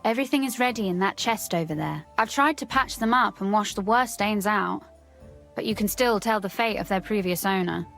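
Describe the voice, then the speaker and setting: A caring, frank young woman in a video game. Her voice is medium in pitch, gently teasing, with faint music behind. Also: a little fast, creaky.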